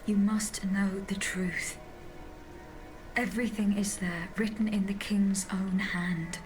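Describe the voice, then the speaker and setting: A woman's voice: medium pitch, fairly even intonation, takes it slowly, natural voice. Woman playing a firm, earnest royal bodyguard. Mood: grave insistence. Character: composed, insistent bodyguard woman revealing hard truths. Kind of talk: dramatic video game cutscene acting. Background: music.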